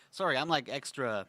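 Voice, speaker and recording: A young man with a congested throat, chatting casually as a gaming host, rambling with lots of fillers and frequent throat clearing. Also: on the high side, pushed and bright, no echo. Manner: rambling, filler-heavy, enthusiastic. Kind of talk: casual tier-list chat.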